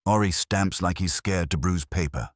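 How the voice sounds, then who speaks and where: Man acting male merchant, audiobook mystery, evasive, careful, deflecting, very deep, husky. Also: normal speed, no room sound, clean studio sound.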